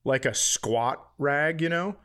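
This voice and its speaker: A man's voice: on the high side, takes it slowly, a bit bright, clean tone. A middle-aged man co-hosting a podcast, telling casual comic anecdotes with swearing and acted-out quotes. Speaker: podcast co-host. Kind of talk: casual comic storytelling chat.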